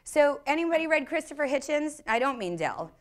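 A woman's voice: high voice, very animated, brisk. A middle-aged American woman speaking casually and bluntly as an interview guest on stage, swearing freely and acting out quotes. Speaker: improv teacher and performer, interview guest. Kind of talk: casual, animated interview talk. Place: on stage in a small dark theater.

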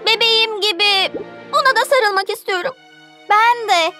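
A woman's voice: very high voice, normal speed. A woman voicing a tiny, curious baby mermaid girl in a children's cartoon, eager and childlike. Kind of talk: animated children's cartoon dubbing. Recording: loud music under the voice.

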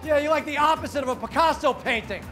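Pushy high-voiced man shouting street compliments. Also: fast. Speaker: comedy street prankster. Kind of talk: street prank, loud mock compliments. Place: busy city sidewalk outdoors. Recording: outdoor street noise with music and laughter.

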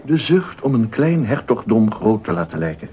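An actor playing a gossipy, sardonic court musician and tutor in a period docudrama, confiding and articulate. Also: male voice, fairly low pitch, big pitch swings, normal speed. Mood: resigned. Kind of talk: Dutch-language period docudrama acting. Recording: dull, muffled audio.

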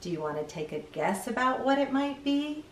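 A middle-aged woman hosting a children's read-aloud, expressive, reading picture-book lines and chatting to young viewers with questions. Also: sits high, moderate pace. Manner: expressive, addressing small children with questions and exclamations. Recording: roomy echo, distant microphone.

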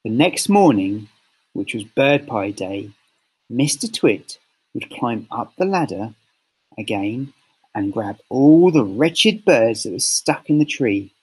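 A man reading a children's story aloud with expressive, deliberate phrasing. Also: medium pitch, slightly rough, poor audio. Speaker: storybook reader.

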